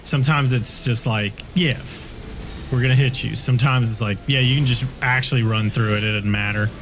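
Man, average pitch, casual gameplay commentary, exasperated grumbling, profane, slight background noise. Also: fairly even intonation, moderate pace. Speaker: video game player, co-host. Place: indoor room, webcam setup.

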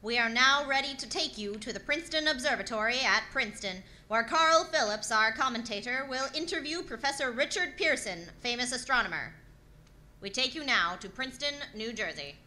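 A formal old-time radio announcer acted by a woman with a very bright, sharp voice, slightly high. She speaks deliberately, in the manner of a 1930s radio play. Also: lively intonation. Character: formal female radio announcer introducing broadcasts. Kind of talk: 1930s radio drama acting.

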